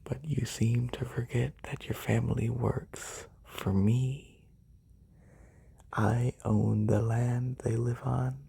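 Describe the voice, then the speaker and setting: A performer voicing a haughty, wealthy lamia noble in a breathy, soft-spoken ASMR roleplay, condescending and unhurried, close on a binaural mic. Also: mid-range voice, level tone, full character voice. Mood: calm menace. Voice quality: breathy, whispery.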